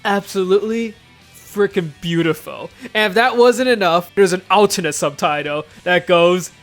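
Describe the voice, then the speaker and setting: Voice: mid-range voice, normal speed, a bit bright, faint music behind. A YouTube host giving scripted commentary, emphatic and stretching words for comic exaggeration. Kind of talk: scripted video commentary on visual novels.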